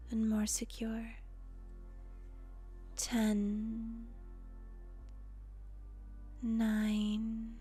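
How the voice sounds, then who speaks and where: Woman, meditation guide, mellow soft tone, slightly high pitch, breathy near-whisper, gentle, unhurried pauses. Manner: soothing, gentle, deliberate with pauses. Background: soft music.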